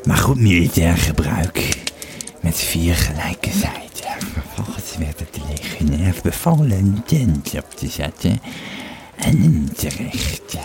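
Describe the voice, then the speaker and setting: An actor playing an old, tipsy Roman legionary who retells his war days in a rambling, halting, dramatic way for an audio play. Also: low voice, a little mellow, full character voice. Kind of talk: in-character storytelling in an audio play.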